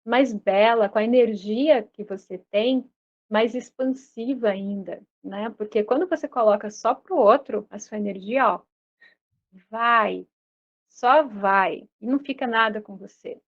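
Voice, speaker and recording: Soft-spoken woman musing on a live chat, higher voice, dull lo-fi audio. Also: unhurried. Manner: gentle, reflective, checks in with "né?". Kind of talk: casual chat.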